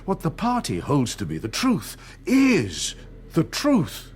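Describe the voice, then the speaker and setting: A middle-aged man acting a cold, controlled interrogator in a radio drama, measured, didactic and condescending. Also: on the high side, wildly swooping pitch, a little hiss or hum, heavily acted. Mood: emphatic, slow. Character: cold, controlled party interrogator and torturer, patronising and menacing.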